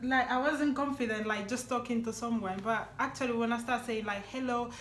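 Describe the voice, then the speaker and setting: Young woman host, non-native English, casual chatter, higher voice, echoey room. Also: fairly even intonation, brisk, fairly bright tone, a little hiss or hum. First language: not English. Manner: chatty, frequent "like" and "actually", laughs often. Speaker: vlog host. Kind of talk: casual chat.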